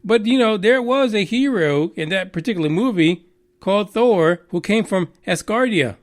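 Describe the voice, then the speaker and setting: A man's voice: sits high, animated, moderate pace. A Texan man hosting a radio news show, rambling and mocking with plenty of swearing and filler words. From Texas. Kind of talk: profane rambling news commentary.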